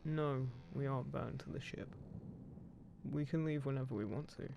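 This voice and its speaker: A man's voice: medium pitch, normal speed, noisy background, lightly acted. A man playing a guarded ghost pirate captain, protective of his crew, speaking plainly and unhurriedly to one listener in an audio roleplay. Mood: calm, matter-of-fact. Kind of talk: immersive roleplay audio drama, spoken intimately to one listener. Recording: clear background ship-creak sound effects. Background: creaking wooden ship ambience.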